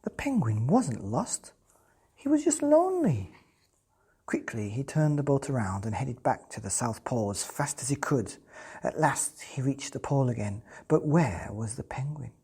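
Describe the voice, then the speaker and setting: A storyteller reading a children's bedtime picture book aloud to young listeners, with a husky, breathy voice. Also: mid-range voice, very animated, normal speed, mellow. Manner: addresses children directly with questions. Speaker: bedtime story podcast reader.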